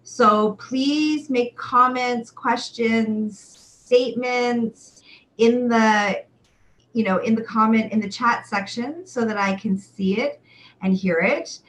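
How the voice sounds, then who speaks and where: A woman in her forties hosting a chatty live webcast, talking to viewers in an exuberant, theatrical way with drawn-out emphatic words. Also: fairly high pitch. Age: 40s. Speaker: livestream show host and sexual wellness coach.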